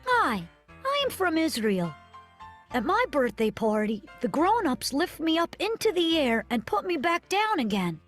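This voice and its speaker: Female, high and very animated, sing-song kids' narration over light music. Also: measured pace. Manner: bright, sing-song, clearly read. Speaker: narrator of a children's video. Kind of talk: scripted narration for children.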